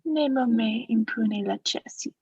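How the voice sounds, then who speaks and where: A woman acting a coolly scheming aristocrat in a radio play, formal and measured, feigning friendly concern. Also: fairly high pitch, creaky, mellow, slight background noise. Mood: solemn, solicitous. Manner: formal, measured, slyly solicitous. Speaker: student actor in a radio play. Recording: echoey, slightly muffled recording.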